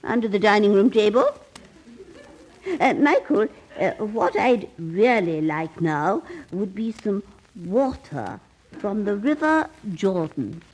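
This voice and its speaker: An actress playing an ancient, eccentric aunt who brews potions, coy and gently hesitant, in an old radio comedy. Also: female voice, mid-range voice, big pitch swings, takes it slowly, rough recording. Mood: coy, amused.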